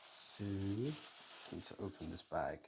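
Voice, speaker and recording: A British man in an intimate, casual ASMR roleplay as a boyfriend cooking, talking in an unhurried, hesitant way as if thinking aloud. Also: low-pitched, big pitch swings, vocal fry, noisy background. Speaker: audio roleplay performer playing a boyfriend cooking. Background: kitchen food preparation sounds.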